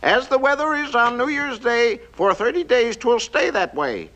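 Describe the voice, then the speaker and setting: Very high-pitched singsong rhyming forecaster. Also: male voice, lively intonation, moderate pace, bright, forceful tone, exaggerated voice. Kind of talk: 1950s cartoon rhyme recitation. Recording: dull, noisy old film soundtrack.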